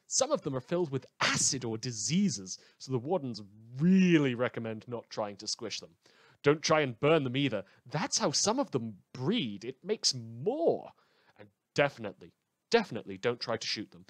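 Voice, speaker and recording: A man plays an animated radio news host from a post-apocalyptic world. His pitch swings widely as he delivers wry, emphatic bulletins in a dry, close recording, rambling off into asides. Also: no echo, lightly acted. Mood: wry warning. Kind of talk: scripted fictional radio news broadcast.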